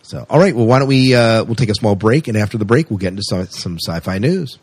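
Lively, low-voiced man hosting a podcast. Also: fast talker, slightly rough, no echo. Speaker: podcast host. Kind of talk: casual sci-fi entertainment news chat.

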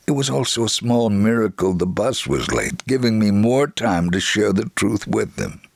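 A man reads a missionary's first-person account in steady, earnest narration. His voice sits low with a gravelly edge. The sound is dry and close to the mic. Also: no echo. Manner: measured, earnest storytelling. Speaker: reader of a missionary letter.

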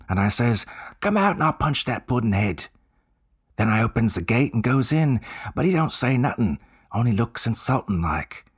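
A man voicing a young male Cockney bellboy who speaks bluntly with rough, dropped grammar. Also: mid-range voice, voice pushed up, close to an everyday voice.

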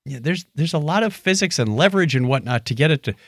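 Guy in his 50s chatting on a podcast, kinda high voice, really up and down, jokey. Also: expressive, quick pace, no room sound, studio quality. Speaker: podcast co-host. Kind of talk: casual banter and listener feedback.

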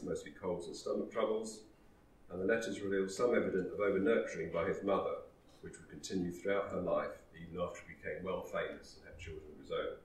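A man with a very low voice gives a measured history lecture. He sounds set back from the microphone in a roomy, echoing space. A faint hum of room noise sits underneath. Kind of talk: historical talk. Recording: roomy echo, distant mic.

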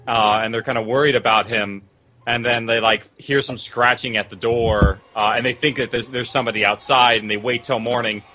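Rambling male panelist with music behind him. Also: mid-range voice. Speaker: horror audio writer and panelist. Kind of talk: casual anecdotal storytelling.

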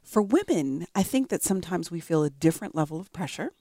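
A woman's voice: fairly low pitch, a little fast, no room sound, studio quality. A woman in her forties, a physician co-hosting a podcast, speaking candidly and expressively in casual conversation. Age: 40s. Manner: candid, expressive, laughs often.

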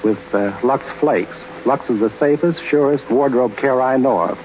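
A man giving measured, formal, slightly halting answers as a guest in a scripted 1930s radio interview. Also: mid-range voice, a little mellow. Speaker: interview guest, fashion and model expert. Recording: muffled, noisy 1930s radio broadcast.

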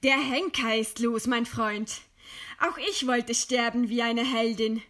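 A woman's voice: fairly high pitch, steady tone, slow pace, a bit bright. An actress playing a proud, defiant condemned lady in a radio play, with a haughty, formal and composed delivery. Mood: bitter, resigned. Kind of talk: radio play acting, stylised literary dialogue.

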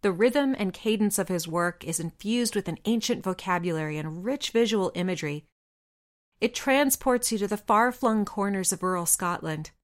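A woman's voice: mid-range voice, animated, normal speed. A woman hosts her own podcast, narrating a prepared segment. Her voice sits in a middle register, and she reads with enthusiasm, adding light humorous asides along the way. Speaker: podcast host.

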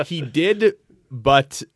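A young man with a Canadian accent chatting casually as a podcast guest, rambling and halting in a jokey way. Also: fairly high pitch, expressive. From Alberta, Canada. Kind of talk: casual chat.

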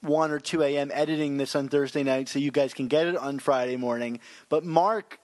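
A man's voice: on the high side, steady tone, brisk, darkish tone, dry recording. A man hosting a podcast in casual, easygoing chat. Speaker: podcast host.

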